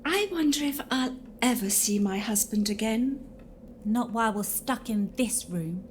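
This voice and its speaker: Woman acting a high-voiced, ladylike ghost. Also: lively intonation, unhurried. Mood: wistful. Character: prim, fashion-loving lady ghost of the Twenties. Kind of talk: audio drama acting. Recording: faint noise behind.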